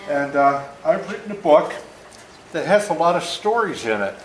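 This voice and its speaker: A man in his seventies talking and reading aloud to children as an author, plain-spoken and deliberate, often checking in with questions. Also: on the high side, very animated. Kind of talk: storytelling talk and read-aloud to children. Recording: echoey room with faint noise.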